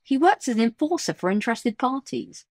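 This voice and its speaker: A woman as a high, lively, frank informant. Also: brisk, no room sound, clean studio sound. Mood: informative, frank. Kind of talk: audio drama acting.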